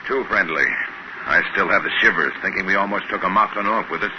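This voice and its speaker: Man playing wary space crewman, low voice, vintage radio acting, muffled, clearly noisy recording. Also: fast talker, lightly acted. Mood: uneasy, relieved.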